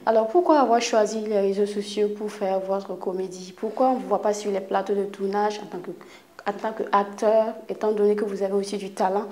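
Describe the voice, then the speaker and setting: Mellow-voiced woman interviewer. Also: medium pitch, fairly even intonation, fairly quick. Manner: short acknowledgments, long probing questions. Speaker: interviewer host. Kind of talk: interview questions.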